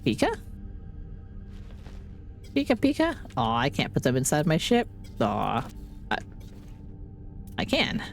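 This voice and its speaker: A woman live-streaming a game with casual, playful commentary, chattering to herself, her voice deepened by being sick. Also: sing-song, a little slow, gravelly, long pauses, faint music behind.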